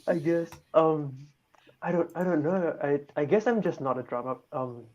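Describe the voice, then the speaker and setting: A man's voice: on the high side, moderate pace, a little mellow. A man from Malaysia, talking hesitantly as a guest, thinking aloud with many restarts. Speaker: guest on talk show. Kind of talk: casual chat. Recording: dull lo-fi mic.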